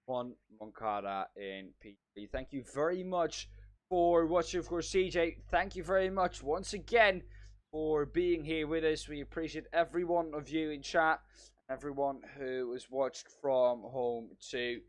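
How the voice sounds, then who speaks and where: A man's voice: on the high side, unhurried. A man co-commentating a sim race in an upbeat way, thanking drivers and wrapping up the broadcast. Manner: upbeat, easy laughs, wraps up the show.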